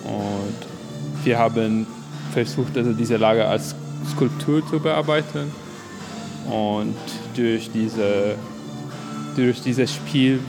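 A male architecture student explaining his class project in hesitant, halting German at an exhibition. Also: lowish voice, creaky. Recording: music playing in background.

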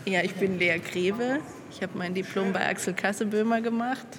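A woman art graduate calmly explaining her own artwork in an exhibition room. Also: medium pitch, normal speed. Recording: long reverb with audible background noise.

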